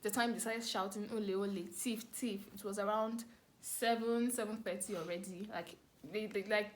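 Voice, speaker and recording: Young adult woman, Nigerian accent, casual storyteller, expressive, repeats herself for emphasis, faint background noise. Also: medium pitch, moderate pace. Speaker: vlogger, storyteller. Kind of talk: casual personal storytelling to camera.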